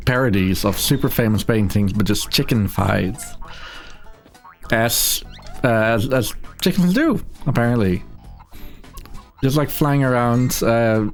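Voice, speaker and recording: A man giving casual, joking commentary as he streams a video game. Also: medium pitch. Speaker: streamer, speedrunner.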